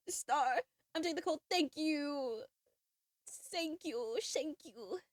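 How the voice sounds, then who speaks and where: A woman's voice: high-pitched, animated, drawn-out words, darkish tone, dry recording, studio quality. A young woman streamer with a stuffy cold nose, bubbly and giggly as she chats and reads a game story aloud. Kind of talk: casual chat and reading a visual novel aloud.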